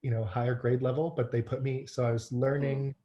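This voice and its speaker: A man in his thirties from Hong Kong, a guest telling his life story in a relaxed, conversational way with frequent fillers and restarts. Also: mid-range voice, a little fast, muffled recording.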